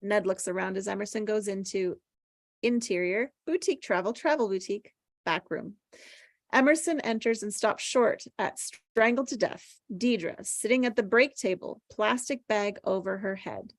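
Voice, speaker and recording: A woman's voice: medium pitch, moderate pace, dry recording. A woman reading stage directions aloud from a screenplay at a table read.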